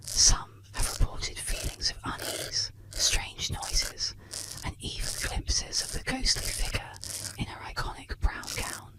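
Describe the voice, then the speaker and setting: Breathy whispering ASMR storyteller. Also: rough recording. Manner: soft, soothing, measured storytelling. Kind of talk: scripted ASMR narration of ghost stories and urban legends. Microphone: close-up microphone.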